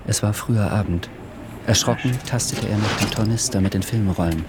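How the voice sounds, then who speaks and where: A man reading literary radio drama narration in a measured, calm way. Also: fairly low pitch, heavy background noise.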